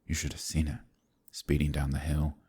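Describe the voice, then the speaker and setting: A man acting a courteous, brooding werewolf in human form, with a gravelly, husky voice and halting, old-fashioned speech in a dramatic ASMR roleplay. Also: extremely low pitch, completely flat delivery, darkish tone, full character voice. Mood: nervous, evasive. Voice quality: gravelly, husky, breathy at times. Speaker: voice actor in an audio roleplay.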